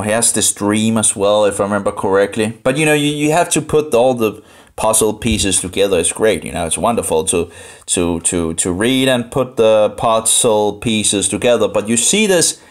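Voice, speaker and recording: A Danish man with a Danish accent thinks aloud through informal Bible commentary, halting and rambling as he repeats phrases. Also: fairly low pitch. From Denmark. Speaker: live streamer studying the Bible.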